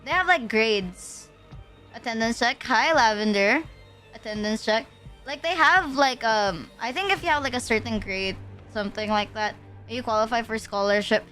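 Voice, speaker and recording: A woman's voice: fairly high pitch, moderate pace, pushed and bright, soft background music. A young woman from the Philippines chatting casually on a livestream, rambling with lots of fillers and slang. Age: young adult. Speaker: streamer.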